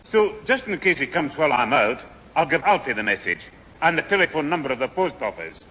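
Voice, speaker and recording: A lively, rather high old Scottish grandfather, bright and sharp in tone. He scolds and grumbles in an old radio sitcom, with faint hiss on the recording. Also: fairly quick. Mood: dry. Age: older. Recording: hissy old radio recording, slight hall.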